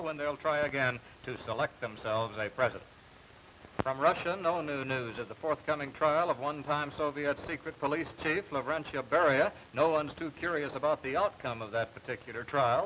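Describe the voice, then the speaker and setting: An American man anchoring a television news report, reading scripted news in a formal, measured 1950s announcer style. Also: a little high, bright, forceful tone. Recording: noisy 1950s television broadcast recording.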